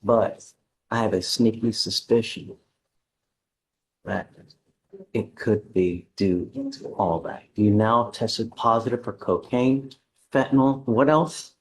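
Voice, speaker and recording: A man speaking as a judge at a courtroom hearing, measured and deliberate, stern but patient. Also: fairly low pitch, steady tone, rough recording.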